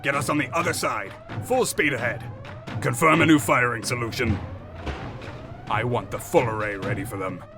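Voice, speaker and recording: A man playing a cold, arrogant enemy warship commander in an audio drama, speaking with deliberate, haughty menace. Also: medium pitch, expressive, gravelly. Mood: commanding. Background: music score under the voice.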